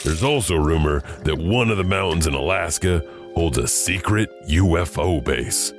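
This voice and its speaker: Guy playing a wry janitor narrator, super deep voice, chuckles to himself, music behind. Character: wandering male janitor storyteller, wry. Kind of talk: horror anthology narration.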